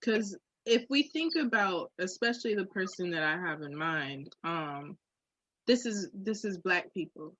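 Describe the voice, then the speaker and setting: A woman's voice: lowish voice, animated, a little slow, fairly bright tone, no room sound, muffled recording. A woman co-hosting a candid podcast conversation, speaking in a reflective, emphatic and informal way. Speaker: podcast co-host.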